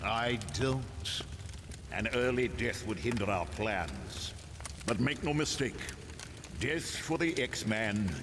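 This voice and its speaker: An actor voicing an imperious, cold and menacing supervillain leader in a video game, with a grandiose, theatrical delivery. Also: male voice, on the low side, big pitch swings, takes it slowly, long reverb tail, background noise, heavily acted. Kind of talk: video game villain acting.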